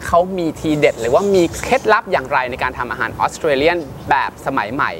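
A man's voice: medium pitch, lively intonation, quick pace, fairly bright tone. A man in his thirties hosting a restaurant show, chatting eagerly with a guest in an outdoor garden on a lapel mic. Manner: eager, chatty, many short agreeing replies. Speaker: show host. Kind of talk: lively restaurant-show chat. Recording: outdoor street noise behind.

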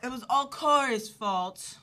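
A man voicing a bossy, scheming young daughter of a mafia boss in a falsetto voice. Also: slow pace, pushed and bright, exaggerated voice.

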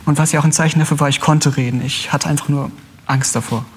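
A young man recounting personal experience as a talk show guest, in a halting, hesitant way. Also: a little high, steady tone, husky, long reverb tail. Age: young adult. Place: large TV studio. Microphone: lapel mic.

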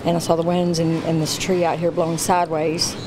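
A middle-aged woman gas station employee recalling events haltingly in an interview inside a small shop. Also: low voice, monotone, noisy background. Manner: halting, shaken recollection. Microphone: handheld mic.

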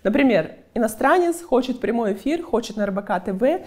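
A woman PR agency head giving interview answers, brisk and talkative, explaining through client examples. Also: fairly high pitch, lively intonation, a little mellow. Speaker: PR agency head, guest expert.

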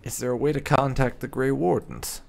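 A man's voice: medium pitch, steady tone, normal speed, creaky, a crowd in the background. A man giving gameplay commentary on a role-playing game, speaking bluntly and with some swearing. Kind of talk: gameplay commentary, partly in character.

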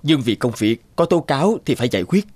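Formal, firm social worker. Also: male voice, mid-range voice, sing-song, darkish tone, slightly rough, dry recording. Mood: businesslike. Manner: formal, measured, official. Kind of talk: animated cartoon dubbing.

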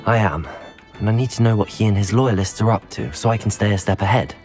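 Man as a lowish, determined young wizard. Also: fast talker, darkish tone, soft background music, close to an everyday voice. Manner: polite, composed. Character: earnest, determined teenage male wizard student. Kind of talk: video game dialogue.

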